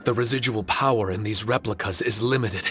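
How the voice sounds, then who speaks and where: A man acts out a male spirit who oversees his realm in a video game. His voice sits fairly low, and he speaks with calm, formal courtesy. Soft music runs underneath. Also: natural voice. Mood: calm, reassuring. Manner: formal, measured wording, polite.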